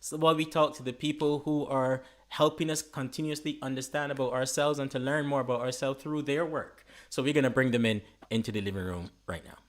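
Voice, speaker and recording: A man's voice: mid-range voice, fairly even intonation, fairly quick, hardly any pauses. A man with a Caribbean English accent hosting a casual online conversation, joking and laughing easily. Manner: laughs often, easygoing and joking. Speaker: host. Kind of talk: casual live-stream chat.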